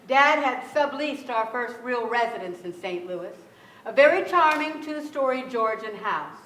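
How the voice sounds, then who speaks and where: An older actress playing a brisk, plainspoken female relative in an emphatic staged reading. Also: fairly high pitch, expressive, a bit bright. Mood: reminiscent. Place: theatre stage before an audience. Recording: echoey theatre stage.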